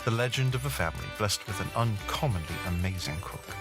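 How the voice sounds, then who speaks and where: A man's voice: on the low side, expressive, fairly quick, over loud music. A man reading scripted storybook narration as the narrator of an audio drama.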